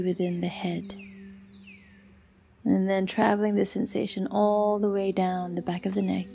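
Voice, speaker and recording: A woman guides a relaxation meditation in a mellow, relaxed voice. Her delivery is even and hushed, with little movement in pitch and long pauses between short, soothing phrases. Soft music plays faintly behind her. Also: gravelly. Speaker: meditation and yoga instructor. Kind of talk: guided yoga nidra meditation.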